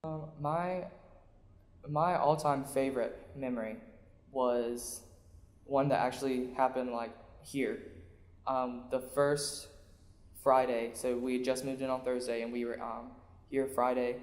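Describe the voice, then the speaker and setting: Echoey, even-toned young man. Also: medium pitch, unhurried. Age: young adult. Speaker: college choir singer. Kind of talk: casual interview recollection. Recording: echoey hall, faint music.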